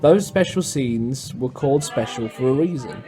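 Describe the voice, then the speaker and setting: A man's voice: mid-range voice, animated, normal speed, warm tone, faint music behind. A man reading scripted narration as a documentary narrator.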